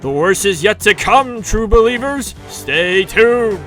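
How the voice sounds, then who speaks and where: A man narrating a campy retro serial in a theatrical, deliberate, scripted style. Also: high-pitched, expressive, pushed and bright, soft background music.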